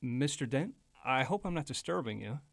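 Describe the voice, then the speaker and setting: An actor playing an earnest, deferential young legal assistant in a radio noir drama, polite and eager. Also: male voice, mid-range voice, lively intonation, normal speed, vocal fry, no room sound.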